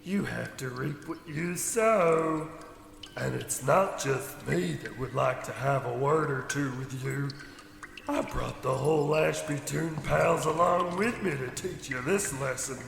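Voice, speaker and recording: An actor playing a goofy but sinister cartoon mascot in a comedic radio play, slow and mock-menacing. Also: male voice, on the high side, fairly bright tone, slight background noise, full character voice. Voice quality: gravelly. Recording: echoey room with long reverb.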